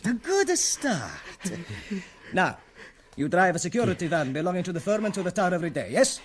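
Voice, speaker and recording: A man's voice: sits high, very animated, a little fast, a little hiss or hum. A man playing a bossy, hot-tempered Italian crime boss with an Italian accent and broken English in a comic radio play. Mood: bossy, menacing. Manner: broken English, bullying, blustering.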